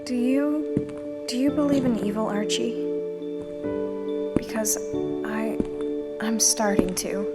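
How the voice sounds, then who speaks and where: A woman's voice: fairly high pitch, takes it slowly, long pauses. A woman playing a sincere, devoted, troubled teenage girl in an audio drama. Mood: fearful, hesitant. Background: soft music underneath.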